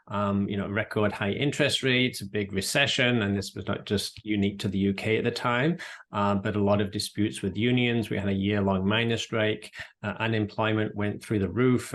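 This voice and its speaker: A middle-aged man from the United Kingdom, explaining things in a measured way during an interview. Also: on the low side, a bit bright. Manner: measured, explanatory, frequent restarts. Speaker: president and CEO of an economic council. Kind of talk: podcast interview answers.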